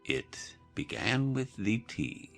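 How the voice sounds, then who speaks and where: A man's voice: medium pitch, sing-song, slow, gravelly, soft background music, exaggerated voice. A man playing a nervous, muddled poor hatter in an audio drama, rambling and apologetic. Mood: pleading, flustered.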